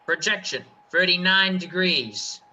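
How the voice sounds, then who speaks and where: Forceful, slow-paced artillery officer played by an actor. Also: medium pitch, animated, highs cut off. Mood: commanding. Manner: clipped, commanding delivery. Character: commanding artillery officer barking firing orders. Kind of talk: radio play acting.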